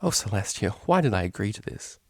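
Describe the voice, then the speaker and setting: A man's voice: on the low side, big pitch swings, brisk, no room sound, clean studio sound. A man voicing a prim, indignant yet fond female pony cellist with proper, formal phrasing in a story reading. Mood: mock exasperated.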